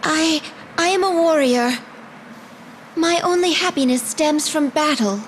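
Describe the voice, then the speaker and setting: An actress playing a proud, dutiful young warrior princess with formal, earnest delivery in a video game drama. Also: female voice, high-pitched, unhurried, a little hiss or hum. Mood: solemn.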